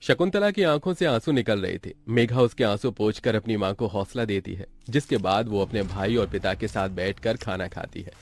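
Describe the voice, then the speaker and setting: A man's voice: on the low side, animated, moderate pace, hardly any pauses, a little mellow. A man reading scripted narration as the narrator of a story.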